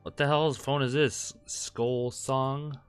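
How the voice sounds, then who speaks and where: A man's voice: mid-range voice, little pitch movement, slow pace, clean tone, faint music behind. A man doing let's play commentary, reading game text aloud with crude jokes and the odd stumble. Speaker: gameplay host.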